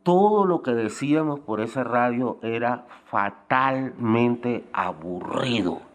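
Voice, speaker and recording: A man's voice: medium pitch, lively intonation, takes it slowly. A man, giving opinionated testimony as an interviewee, emphatic and blunt in his wording. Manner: emphatic, piling up blunt adjectives.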